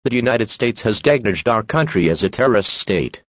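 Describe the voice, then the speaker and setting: A man's voice: on the low side, lively intonation, normal speed, dry recording. Formal male emergency alert announcer, dry sound. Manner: formal, impersonal. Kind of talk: scripted emergency broadcast announcement.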